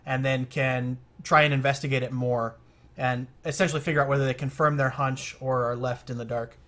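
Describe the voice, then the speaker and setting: A man giving casual feedback as an experienced game master, explaining with many fillers and pauses. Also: medium pitch, flat delivery, a bit bright. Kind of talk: casual feedback discussion on a call.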